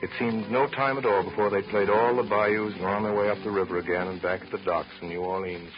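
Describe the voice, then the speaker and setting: A man's voice: lowish voice, fairly even intonation, fast talker, lightly acted. A man narrating a 1930s radio drama from a script in a lyrical storytelling manner. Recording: muffled 1930s radio, music behind.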